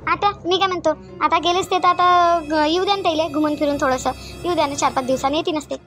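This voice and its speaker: A woman's voice: very high-pitched, fairly even intonation, fast talker, a bit bright, soft background music. A woman voicing a young married daughter-in-law in a cartoon family skit, meek and evasive, with a Vidarbha Marathi accent. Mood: placating. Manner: placating, apologetic, repeats denials.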